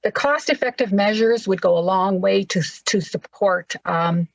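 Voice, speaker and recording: A woman's voice: medium pitch, normal speed, gravelly, no echo. A woman giving a formal prepared presentation with slides on a video call, stumbling now and then over words. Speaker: panel presenter. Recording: dull lo-fi video call audio.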